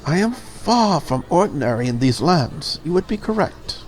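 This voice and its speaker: A woman's voice: low-pitched, very animated, normal speed, a little mellow, a little hiss or hum. A woman voicing a proud dragon girl ambassador in a visual novel, with a stiff, formal and grandiose way of speaking. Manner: stiff, formal, solemn declarations. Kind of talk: visual novel character read aloud.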